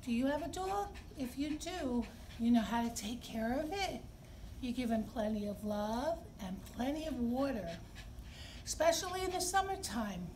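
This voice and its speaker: A middle-aged woman hosting story time, speaking to young children in simple, expressive phrases. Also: high-pitched, moderate pace. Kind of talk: read-aloud and talk for young children. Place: indoor library children's room. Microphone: camera a few feet away. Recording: distant camera mic, clear background noise.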